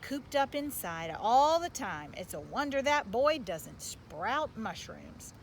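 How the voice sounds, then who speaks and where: A woman plays a grumbling, bossy father from a storybook, folksy and blustering. The pitch sits on the high side, and there is clear noise behind the words. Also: big pitch swings, slow, full character voice. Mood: exasperated. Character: gruff grumbling father, manager of a losing baseball team. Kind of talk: storybook read-aloud.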